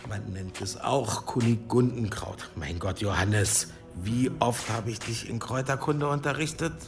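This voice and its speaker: An actor playing a stern, impatient monk herbalist who speaks like an exasperated schoolmaster in a radio play. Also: male voice, medium pitch, measured pace, music in the background, natural voice. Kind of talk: German radio play acting.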